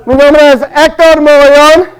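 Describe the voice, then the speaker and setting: A man in his early thirties preaches with a Chicano accent, his voice sitting very high for a man. He talks hesitantly, filling pauses and restarting his sentences as he goes. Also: little pitch movement, crowd noise, noisy background. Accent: Chicano Spanish. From Los Angeles, family from Guatemala. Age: early 30s. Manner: hesitant, many fillers and restarts. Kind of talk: Spanish-language sermon.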